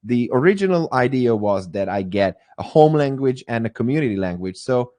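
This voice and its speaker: A man's voice: mid-range voice, expressive, normal speed, dark tone, no echo. A man teaching a live online course, talking in a hesitant way with many fillers and restarts. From Hungary. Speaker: AI course instructor.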